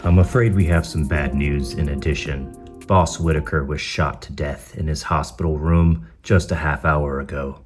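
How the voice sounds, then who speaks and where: A man's voice: a bass voice, fairly even intonation, normal speed. A man playing a grave, brooding masked vigilante who speaks tersely in a comic dub. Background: background music.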